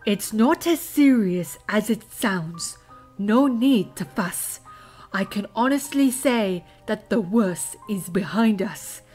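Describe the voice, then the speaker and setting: Woman acting a husky, slow-spoken zebra healer. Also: medium pitch, darkish tone. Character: calm, reassuring female zebra healer speaking in rhyme.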